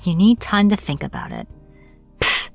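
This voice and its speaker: A woman's voice: on the low side, animated, a little slow, soft background music. A woman acting as a captured witch in a fantasy audio roleplay, irritable and defiant, speaking informally with sarcastic exasperation. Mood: dismissive, sarcastic. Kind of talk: dramatic fantasy audio roleplay, addressed to the listener.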